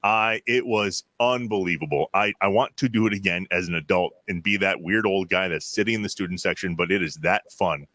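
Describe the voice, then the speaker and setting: An American man with a lowish voice that cuts through brightly. He co-hosts casual sports talk and tells his stories with emphatic enthusiasm. The sound is close and dry. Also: fairly quick, few pauses, dry recording. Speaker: sports show co-host.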